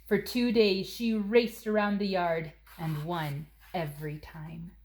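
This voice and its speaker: Lower-pitched woman reading a children's story. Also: flat delivery, slow, darkish tone. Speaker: storybook reader. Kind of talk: read-aloud children's story narration.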